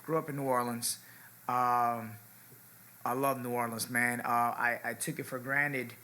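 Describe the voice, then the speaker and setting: Laid-back bright-voiced young man. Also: mid-range voice, unhurried. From Ecuador. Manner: relaxed, laid-back storytelling with many fillers. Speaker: podcast guest, fighter. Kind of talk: casual interview chat.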